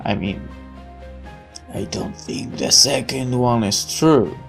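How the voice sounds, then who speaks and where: A woman's voice: rumbling low, takes it slowly, gravelly, soft background music. A woman reading a visual novel game aloud as narrator and player, adding casual commentary.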